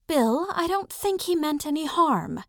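Woman acting a soft, high-voiced 1940s film actress. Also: big pitch swings, measured pace, no echo, studio quality, heavily acted. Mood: friendly, polite. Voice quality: soft, sultry.

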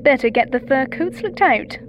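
A woman playing a brisk, wryly friendly mission controller in a sci-fi audio drama, heard through a radio filter. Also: high voice, lively intonation, background noise. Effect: radio comms filter. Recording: muffled radio link over music.

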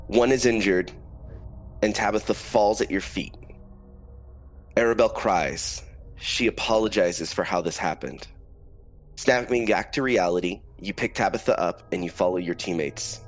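Low-voiced man narrating a grim scene. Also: a little hiss or hum. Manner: slow, solemn scene-setting narration. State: has a cold. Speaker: dungeon master. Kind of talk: dramatic narration of a tabletop game.

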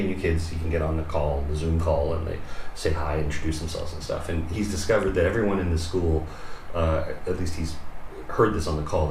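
A man's voice: low-pitched, darkish tone. A middle-aged man from Canada, speaking reflectively in casual conversation, a little distant in an echoey room. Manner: reflective, thoughtful, full of fillers. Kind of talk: casual chat. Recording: distant mic in an echoey room with faint noise.

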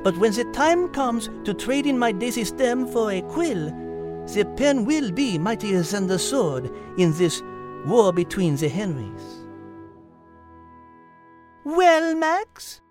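Woman as a high-voiced, dainty French cat. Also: very animated, slow pace, music in the background. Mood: knowing. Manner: dainty, playful, polite. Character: refined, teasing French female cat. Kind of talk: character acting in a children's audio drama.

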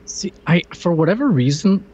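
A man's voice: high-pitched, expressive, moderate pace, a little mellow, lo-fi sound, a little hiss or hum. A man co-hosting a podcast, discussing films casually in loose, slangy, conversational phrasing. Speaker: podcast co-host.

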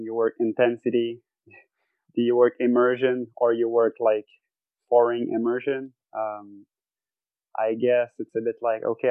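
A man's voice: mid-range voice, dry recording, narrow, tinny audio. A man, a podcast guest who talks thoughtfully and searches for words. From Quebec, Canada. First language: French. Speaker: guest athlete. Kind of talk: casual podcast interview. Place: home bedroom.

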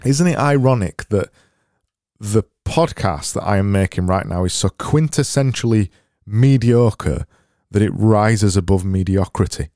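Deep-voiced Barnsley man, sarcastic podcaster. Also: a little slow, no room sound, studio quality, faint music behind. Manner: sarcastic, deadpan self-mocking. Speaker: podcast host. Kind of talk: solo comedic podcast monologue.

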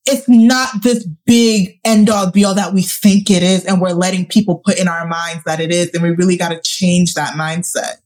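A woman's voice: on the low side, steady tone, moderate pace, few pauses, a little mellow. A woman in her late twenties, co-hosting a casual podcast chat, earnest and reflective, talking in long run-on thoughts. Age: 20s. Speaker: podcast co-host.

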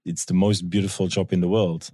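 A man's voice: low-pitched, little pitch movement, a little fast, warm tone, slightly rough, dry recording, clean studio sound. A man from Belgium with a Belgian accent, telling anecdotes conversationally as an interview guest and acting out quoted dialogue. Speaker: guest, tour guide and business owner.

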